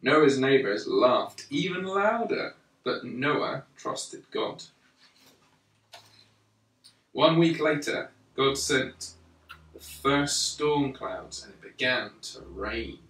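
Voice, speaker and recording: A man reads a children's story aloud at a slow, deliberate pace. His voice is bright and sits at an ordinary male pitch. The recording is roomy and echoey, a little away from the microphone. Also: animated, recorded from a distance. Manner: measured and deliberate, with pauses between phrases. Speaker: storyteller reading a Bible picture book to a young child.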